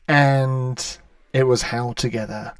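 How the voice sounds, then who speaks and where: A man presenting an online radio livestream in a measured way, walking listeners through a demonstration. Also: medium pitch, fairly even intonation, no room sound, studio quality, distant mic. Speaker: online radio station host. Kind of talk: calm livestream presentation with website demo. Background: ambient music.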